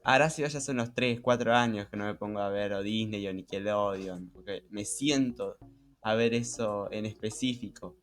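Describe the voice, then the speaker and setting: A man's voice: lowish voice, normal speed. A young man with a Rioplatense Spanish accent, co-hosting a casual podcast in a reflective, somewhat hesitant way, repeating words as he goes. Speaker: podcast co-host. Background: background music.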